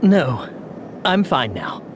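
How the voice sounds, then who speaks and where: Troubled, halting young hero, animated pitch. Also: male voice, noisy background. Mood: hesitant, uneasy. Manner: halting, hesitant, dramatic. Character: troubled young man with fragmented memories, earnest and doubting. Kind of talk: English video game voice acting.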